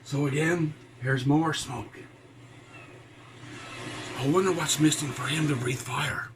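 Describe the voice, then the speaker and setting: A man's voice: on the high side, steady tone, slow. A man voicing a salty pirate who reads a children's storybook, chatting to his mateys with pirate talk and chuckles. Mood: playful, chatty. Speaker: storybook reader. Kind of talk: children's read-aloud with chatty asides. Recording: noisy, with background ambience.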